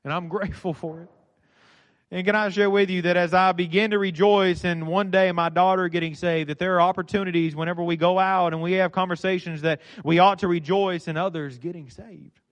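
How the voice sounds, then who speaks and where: A middle-aged man preaching a sermon in a church, with a dynamic delivery that swings from loud to soft. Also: high-pitched, fairly even intonation, a little fast, dull audio. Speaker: pastor. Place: church sanctuary.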